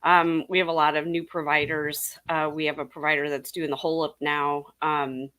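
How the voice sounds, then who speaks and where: A woman's voice: low voice, flat delivery, moderate pace, a bit bright. A middle-aged woman talking informally on a panel, chatty and repeating points for emphasis. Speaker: urology clinic panelist. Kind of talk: casual panel chat.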